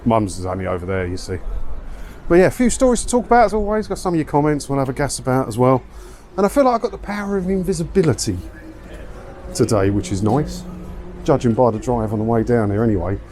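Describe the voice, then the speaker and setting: A man with a lively, swooping delivery and a middling pitch talks casually to his viewers while out walking. He grumbles sardonically through news commentary, tossing out rhetorical questions. There is light outdoor wind and street noise behind him. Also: quick pace. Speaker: vlogger hosting a commentary video. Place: outdoors, walking around an English seaside town.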